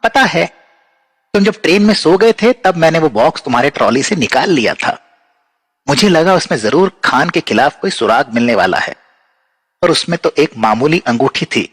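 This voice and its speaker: A man acting as a composed, watchful undercover detective in an audio drama, explaining things in a measured way. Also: a little high, fairly bright tone, breathy edge, full character voice. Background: background music.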